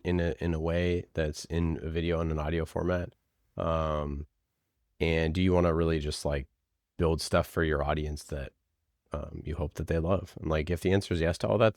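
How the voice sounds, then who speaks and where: A man with a very deep voice talks as a guest in a casual interview. He feels his way through answers in a halting, conversational manner. The sound is dry, with hardly any room in it. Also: a little mellow, dry recording. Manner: halting, conversational, frequent "like" and "you know". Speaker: podcast producer, interview guest.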